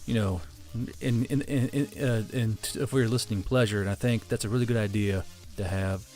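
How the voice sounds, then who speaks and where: A man's voice: mid-range voice, darkish tone. A man hosting a channel, talking casually off the cuff and stumbling over his words. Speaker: channel host. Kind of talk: casual unscripted chat to viewers.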